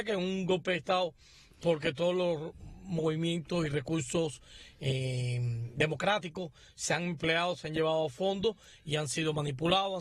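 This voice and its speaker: A man in his sixties, from Cuba, talking in a slightly high voice with a steady, deliberate delivery. The studio sound is close and dry, with no room around it. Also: no room sound. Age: 60s. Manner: measured, deliberate political commentary. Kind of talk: radio panel discussion.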